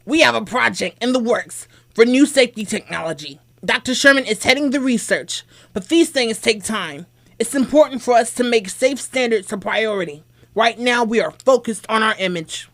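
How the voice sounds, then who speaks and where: A woman playing a firm, composed executive in an old-time radio drama, formal and measured in delivery. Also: on the high side, expressive, a bit bright.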